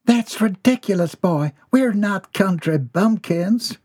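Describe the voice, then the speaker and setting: A man voicing a fussy farm wife and mother, shrill and scolding. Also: animated, takes it slowly, darkish tone, husky, full character voice.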